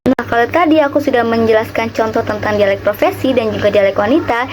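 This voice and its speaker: Young woman from Java, Javanese accent, high bright voice, friendly lesson explainer, faint background hiss. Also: fast talker. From Java, Indonesia. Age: young adult. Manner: chatty, addresses viewers directly with rhetorical questions. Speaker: student teacher explaining a lesson. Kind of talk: casual educational video.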